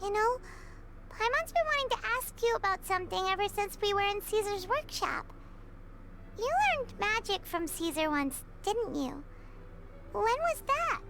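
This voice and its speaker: A woman's voice: very high-pitched, expressive, measured pace, noisy background, exaggerated voice. A woman voicing a tiny, childlike floating fairy companion in a video game, cutesy and bubbly, referring to herself in the third person. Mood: curious. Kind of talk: scripted video game dialogue.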